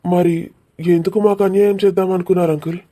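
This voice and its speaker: An earnest young man in love, acted by a man for a cartoon romance. His voice is a bit high, with a very warm, muted tone. He sounds nervous and sincere. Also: steady tone, quick pace, natural voice. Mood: pleading. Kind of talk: cartoon love story dialogue.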